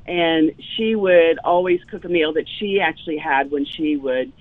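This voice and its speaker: A woman's voice: low-pitched, normal speed, dry recording. Woman chef in her fifties on a phone line. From Louisiana, US South. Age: 50s. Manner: animated storyteller, frequent ums. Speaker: chef, guest. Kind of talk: casual interview chat. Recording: tinny phone line, dry.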